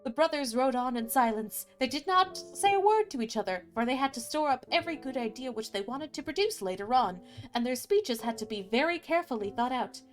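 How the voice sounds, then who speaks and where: Woman, high-pitched, lively intonation, fairy-tale streamer, informal and expressive, music underneath. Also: fairly quick. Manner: expressive, informal, candid asides. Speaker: streamer reading fairy tales aloud. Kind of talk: casual livestream chat and storybook narration.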